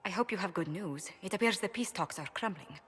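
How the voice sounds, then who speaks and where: A woman playing a refined, principled diplomat in a fantasy game, polite and expressive. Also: lowish voice, a little fast, slightly rough. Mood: concerned. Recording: long hall reverb, music behind.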